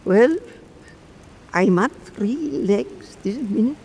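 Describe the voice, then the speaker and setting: An actor playing an old blind island storyteller, wry and pious, telling folk tales slowly in a radio drama. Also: male voice, sits high, expressive, creaky, darkish tone, slightly rough, heavily acted. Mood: wry, playful. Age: older.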